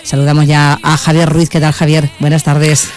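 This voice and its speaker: A man's voice: fairly high pitch, completely flat delivery, normal speed, soft background music. A man, presenting a regional radio show. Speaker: radio host.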